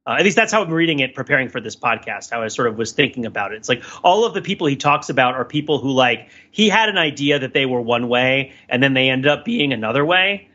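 A man with a bright, rough-edged voice thinks aloud as a podcast co-host. He talks casually but analytically, in long wordy, wandering sentences. The recording sounds a little dull and lo-fi. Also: mid-range voice, lively intonation, quick pace, hardly any pauses. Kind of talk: casual discussion and cultural commentary.

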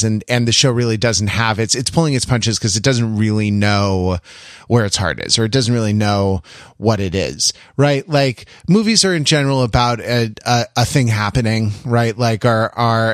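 A man co-hosting a podcast, talking casually and analytically, often halting and restarting his phrases. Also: fairly low pitch, no room sound, studio quality. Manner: halting, self-correcting, repeats phrases. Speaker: podcast co-host. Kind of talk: casual analytical pop-culture discussion.

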